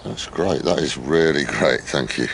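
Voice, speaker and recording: An actor playing a gruff, persistent police detective in a radio drama, with a gravelly, husky voice. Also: male voice, extremely low pitch, a little slow, a little hiss or hum, exaggerated voice, rough recording. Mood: probing, flattering.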